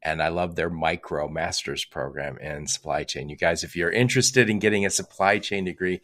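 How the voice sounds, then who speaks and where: A man's voice: low voice, a bit bright. An older American man hosting a casual interview, talking in a chatty, digressive way. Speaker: podcast host.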